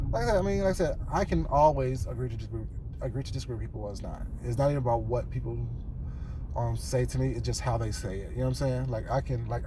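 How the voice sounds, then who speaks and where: A man recording a casual vlog on his way to work, with a groggy morning voice, rambling in a relaxed way and often asking "you know what I'm saying". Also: mid-range voice. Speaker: vlogger. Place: car on the way to work. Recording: car and road noise behind.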